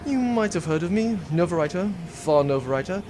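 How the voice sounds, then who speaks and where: A man's voice: sits high, animated, a little fast, a little mellow, a little hiss or hum. A man playing a pompous, theatrical swashbuckling spaceship captain in a comedic audio drama. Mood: self-important. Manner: pompous, theatrical, mock-genteel.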